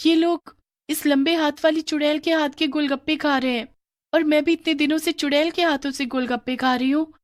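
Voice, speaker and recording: A woman voicing a curious, scared young schoolgirl, talking high and excitedly. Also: brisk, no room sound, studio quality, lightly acted. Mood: frightened.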